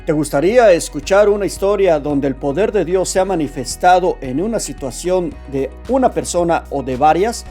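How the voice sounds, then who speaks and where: Man, testimony show host, fervent preacher-like exhortation, raised pitch, light music bed. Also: expressive, normal speed, hardly any pauses, mellow.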